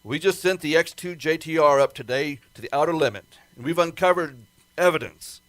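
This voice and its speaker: A man's voice: on the high side, a little fast, fairly bright tone, no echo, close to an everyday voice. A man acting an earnest government project man in an amateur radio play. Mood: urgent, insistent. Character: Hank, earnest government project man, urgent then grimly resigned.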